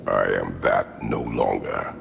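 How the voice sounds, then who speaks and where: An actor playing a grim, weary older Spartan warrior in a video game, terse and stern, with a gravelly voice. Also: male voice, takes it slowly, background noise, exaggerated voice. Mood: stern, restrained. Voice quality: very deep gravelly voice. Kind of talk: video game cutscene acting. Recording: reverberant hall, loud orchestral game music.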